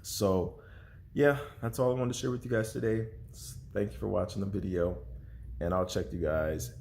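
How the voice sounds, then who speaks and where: A man's voice: fairly low pitch, fairly even intonation, moderate pace, vocal fry, darkish tone, slight background noise. A congested young man vlogging casually at home, rambling with lots of fillers and restarts. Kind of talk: casual vlog chat to camera.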